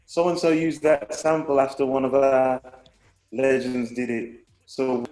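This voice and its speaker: A man's voice: mid-range voice, level tone, measured pace, darkish tone. Reflective man in an echoey room. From England. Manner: reflective, rambling with restarts. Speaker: guest, hip hop artist. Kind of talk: casual conversation.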